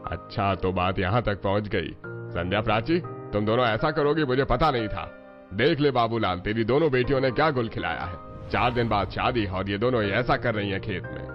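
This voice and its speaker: A stern older village father, played by a man, scolding and accusing in an indignant cartoon-drama voice. Loud music plays behind him. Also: lowish voice, lively intonation, normal speed, muffled recording, voice pushed down, close to an everyday voice. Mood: outraged. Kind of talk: dramatic Hindi cartoon story dialogue. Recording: loud music under the dialogue.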